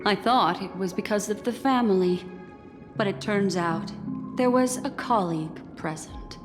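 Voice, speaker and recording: A woman's voice: mid-range voice, lightly acted. An actress playing a stern, perceptive female agent in a video game, assertive and formal in her accusations. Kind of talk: video game character dialogue. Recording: long reverb, music behind.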